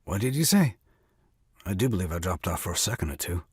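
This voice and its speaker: A man's voice: deep voice, big pitch swings, brisk, slightly rough, no echo, clean studio sound, exaggerated voice. A man playing a flustered, indignant young new father in a comic stage farce, blustering and exclaiming. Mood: groggy, puzzled.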